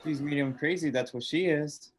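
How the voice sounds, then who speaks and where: A man's voice: a little high, moderate pace, natural voice. A man playing a wry, wisecracking, resigned husband in a radio drama, with a sardonic, joking delivery.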